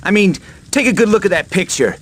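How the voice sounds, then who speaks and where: A man's voice: sits high, animated, brisk. An actor playing a brooding young soldier hero in a video game, blunt and guarded in his delivery. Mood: bitter. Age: young adult. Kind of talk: video game cutscene acting.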